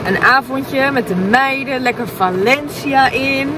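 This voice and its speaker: A woman's voice: high-pitched, a little slow. A woman in her thirties chatting casually into her phone for a vlog, full of fillers and restarts, first from a car and then outdoors in the rain. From Netherlands. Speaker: vlogger. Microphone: phone held at arm's length. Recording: phone audio with rain and traffic noise.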